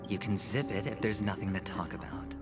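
A man's voice: fairly low pitch, a little fast, loud music behind the voice, close to an everyday voice. An actor playing a weary, guarded, haunted young man in a video game, terse and brooding. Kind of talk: dramatic video game voice acting.

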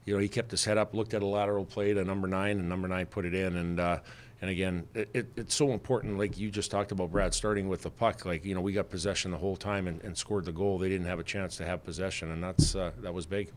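A man's voice: deep voice, steady tone, fast talker. A middle-aged man, a head hockey coach, answering reporters after a game in a plainspoken way, with many fillers and restarts, at a desk mic in a press room. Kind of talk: postgame press conference answers. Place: press room with sponsor backdrop. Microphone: desk mic on stand.

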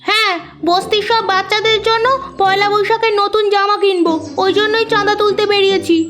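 Small earnest child for animated moral tale: very squeaky high pitch, kind-hearted, music underneath. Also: female voice, fairly quick. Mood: earnest, eager. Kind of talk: children's cartoon acting.

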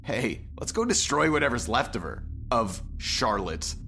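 A man's voice: medium pitch, very animated, unhurried, gravelly, sharp tone, faint music behind, exaggerated voice. A man playing an embittered, injured captive newly freed after a lifetime caged, speaking with dramatic intensity. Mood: bitter, vengeful. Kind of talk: sci-fi audio drama acting.

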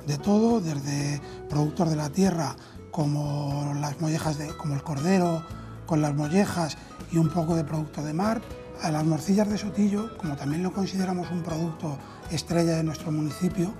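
A man's voice: on the high side, moderate pace. Castilian Spanish man over music. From Spain. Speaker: restaurant owner being interviewed. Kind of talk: conversational interview. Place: indoors in an empty restaurant dining room. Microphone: lapel mic.

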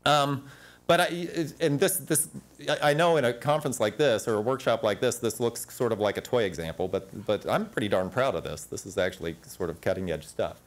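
A man in his forties giving an academic panel talk in a conference room on a lapel mic, animated and full of restarts. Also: medium pitch, brisk. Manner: animated, gesturing at slides, frequent restarts.

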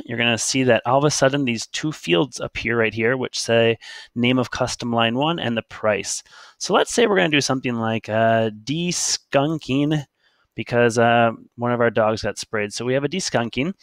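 A man presenting software in a casual, conversational tutorial style, explaining things step by step. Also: mid-range voice, clean tone, no echo. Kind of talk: casual screen-share tutorial narration.

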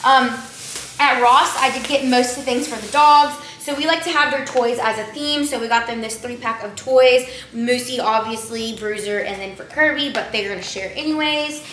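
A high-voiced young woman with a twang chats through a shopping haul, rambling and filling gaps with "like" and "um". She is recorded by a camera on the counter in her kitchen, which sounds roomy and echoey. Clear household noise runs underneath. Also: normal speed, a bit bright. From Texas. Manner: chatty, rambling, lots of likes and ums. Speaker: vlogger. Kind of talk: casual haul chat to camera. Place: home kitchen, indoors. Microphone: camera on the counter at a distance.

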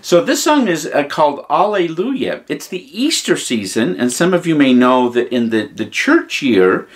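A man's voice: fairly high pitch, big pitch swings, moderate pace. A man in his fifties, a priest hosting a children's story time, talking informally and devotionally in simple short phrases. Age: 50s. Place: small furnished room at home, indoors.

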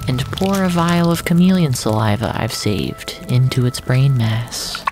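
A narrator telling a surreal first-person story in a deliberate, dramatic way, with pauses. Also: mid-range voice. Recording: loud music under the voice. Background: loud music.

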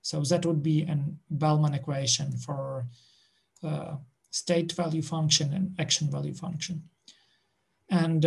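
A man presenting to a study group over a video call, pausing often with filler sounds as he works through the slides. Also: a little high, creaky, a little mellow, slightly rough. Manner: halting, many filler sounds, slow and deliberate.

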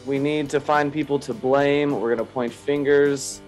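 A man hosting a casual fan podcast, his voice slightly raspy after a day of yelling, talking in an animated, rambling, self-mocking way. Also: mid-range voice, normal speed, soft background music. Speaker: podcast host.

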